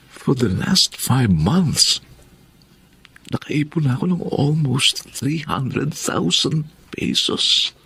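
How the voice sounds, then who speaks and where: An actor playing an earnest, dutiful grown-up youngest child in a Filipino radio drama, speaking deliberately. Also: male voice, medium pitch, vocal fry, fairly bright tone, breathy edge, exaggerated voice. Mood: pleased, determined. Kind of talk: Tagalog radio drama acting with English phrases.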